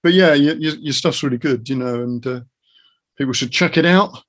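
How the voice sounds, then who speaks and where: A man's voice: medium pitch, moderate pace, fairly bright tone. A British man hosting a casual interview podcast in an encouraging, affirming way. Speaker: interview host.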